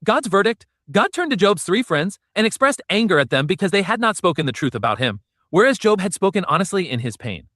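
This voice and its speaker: Male narrator for a scripted story read-through: high, animated delivery, dry recording. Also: brisk, hardly any pauses, no echo. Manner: steady, storytelling read with numbered section headings.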